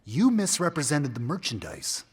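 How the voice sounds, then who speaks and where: An actor playing a cold, menacing human trafficker in an audio drama, with a husky voice. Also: male voice, a little high, very animated, moderate pace, exaggerated voice. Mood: cold, contemptuous. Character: cold menacing male human trafficker haggling over a captive.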